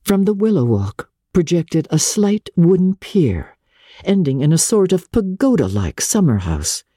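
A woman gives a dramatic reading of literary prose in a mellow, husky voice with expressive, swooping pitch. Her delivery is measured and theatrical, shaped by deliberate pauses. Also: dry recording, studio quality. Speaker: narrator, audiobook reader.

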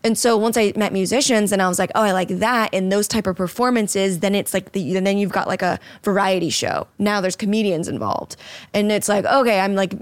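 A woman's voice: mid-range voice, fairly quick, no room sound. Young woman rambling on a podcast, tons of "like", laughy, dry close mic. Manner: rambling, heavy use of "like", laughs often. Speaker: podcast host, dancer. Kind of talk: casual chat.

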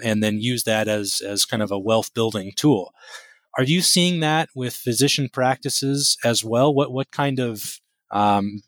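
Male business interviewer, mid-pitched and congested from a cold, conversational, dry recording. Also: fairly bright tone, no room sound. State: stuffed up with a head cold.

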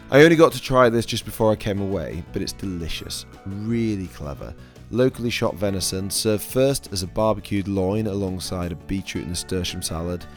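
Croaky-voiced male chef hosting a podcast. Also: fairly low pitch. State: croaky, losing his voice. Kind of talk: scripted podcast narration.

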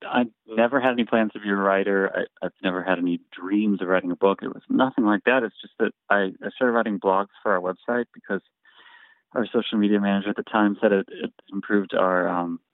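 Man in his forties, interview guest, modest and easygoing, somewhat low voice, narrow phone-line sound. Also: fairly even intonation, a little fast, no room sound. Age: 40s. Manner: easygoing and modest, chuckles often. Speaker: guest, singer and memoir author. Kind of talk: casual interview chat. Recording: thin phone-like line, dry.

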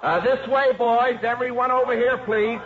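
An actor playing a genial, optimistic college priest with a polite, cheerful manner in a 1950s radio drama. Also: male voice, extremely high pitch, flat delivery, normal speed. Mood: genial, hopeful. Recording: muffled old radio recording, crowd behind.

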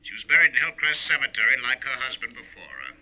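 A man's voice: mid-range voice, big pitch swings, quick pace, bright, forceful tone. An actor playing a helpful male investigator reporting formally over the telephone in a 1950s radio drama. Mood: businesslike, helpful. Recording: dull old radio recording.

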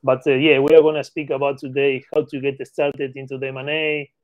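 Argentine man, eager, halting English. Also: medium pitch, flat delivery, dry recording, highs cut off. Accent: Argentine Spanish. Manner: eager, repeats phrases, halting non-native English. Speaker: guest, M&A expert. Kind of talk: casual interview talk.

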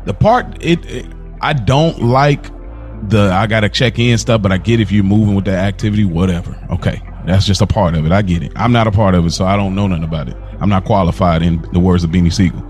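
A young man co-hosting a podcast in casual chat, telling stories in an animated way and laughing often. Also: low-pitched, quick pace, faint music behind. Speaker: podcast co-host.

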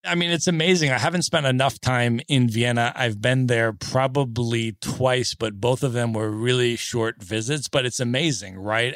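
A man's voice: mid-range voice, fairly bright tone, no room sound, studio quality. A man hosting a podcast interview, asking questions in an enthusiastic, friendly way. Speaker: podcast host. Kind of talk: interview questions, casual chat.

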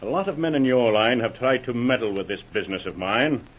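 An actor playing a smug, menacing smuggling boss, mock-polite and taunting, in a 1940s radio drama. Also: male voice, medium pitch, fairly quick, slightly rough, natural voice. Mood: smug menace. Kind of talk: 1940s radio villain acting. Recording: muffled old radio recording.

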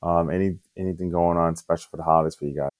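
A man co-hosting a podcast, relaxed and jokey as he steers the chat. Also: deep voice, very fast, dry recording, studio quality. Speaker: podcast host. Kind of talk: casual interview.

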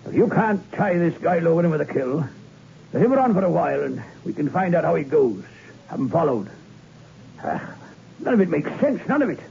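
An actor playing an irritable, perplexed police chief with a gravelly voice in a 1960s radio crime drama. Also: male voice, a little high, big pitch swings, a little fast, dark tone. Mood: perplexed, brisk. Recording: dull, noisy old radio recording.

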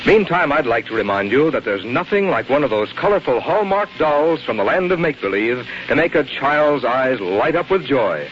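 A man's voice: mid-range voice, big pitch swings, normal speed, few pauses, a little hiss or hum. An American man reading scripted announcements in a 1940s radio announcer style. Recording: muffled 1940s radio broadcast, music.

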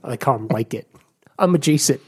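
A man with a husky, slightly breathy voice co-hosts a casual podcast. He jokes and teases his friends and jumps eagerly into the game. His pitch sits in the middle. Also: expressive, a little fast, a little mellow. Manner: joking, teasing, eager. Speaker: co-host, player.

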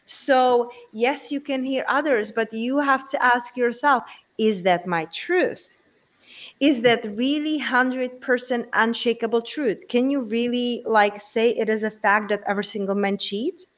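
A woman's voice: a little high, measured pace. A woman from the Czech Republic with a Czech accent, speaking earnestly in a casual interview and asking many rhetorical questions. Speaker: guest.

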